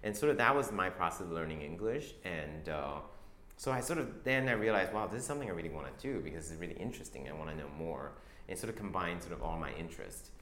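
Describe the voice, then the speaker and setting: A young man from Taiwan, a fashion designer, talking about his work in a soft, hesitant way full of restarts. His voice sits very low, and the room around him is echoey with a faint hum of ambience. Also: lively intonation. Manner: soft-spoken, halting, many restarts and fillers. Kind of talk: interview answers.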